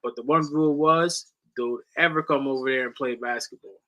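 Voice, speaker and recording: Man, late twenties, sharp bright voice, medium pitch, slangy, sweary, casual sports streamer. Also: steady tone, moderate pace, rough recording. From Netherlands, moved to the US at ten. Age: late 20s. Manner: slangy, swearing, says "bro" often. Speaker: sports watch-along streamer.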